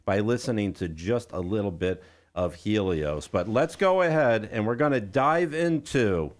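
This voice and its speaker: A man's voice: on the low side, lively intonation, a little slow. A man hosting a live radio show in a chatty, folksy way, speaking directly to listeners from a studio. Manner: folksy, addresses listeners as guys. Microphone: studio broadcast microphone.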